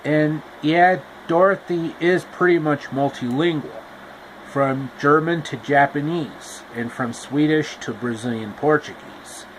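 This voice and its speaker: Slow, rambling male storyteller. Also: fairly high pitch, slight background noise, rough recording. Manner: loose, rambling narration with restarts. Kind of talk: casual improvised story retelling.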